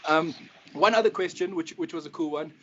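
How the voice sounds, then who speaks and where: A man's voice: a little high, fairly quick, fairly bright tone. A South African man interviewing casually as a football coach, effusive and matey in his encouragement. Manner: effusive, matey, calls guest bro. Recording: dull lo-fi sound with clear noise.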